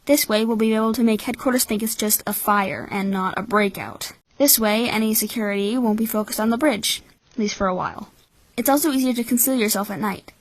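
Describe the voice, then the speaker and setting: A woman voicing a cynical, calculating twelve-year-old orphan boy in a measured, blunt anime-style fan dub. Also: a little high, no room sound, low-quality recording. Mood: cool, calculating.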